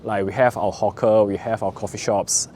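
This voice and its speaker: A young man from Singapore with a Singaporean English accent, talking earnestly to camera in a relaxed vlog, with frequent "uh" fillers. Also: fairly low pitch, normal speed, a little hiss or hum. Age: young adult. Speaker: vlogger, recent business school graduate. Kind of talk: casual talk to camera.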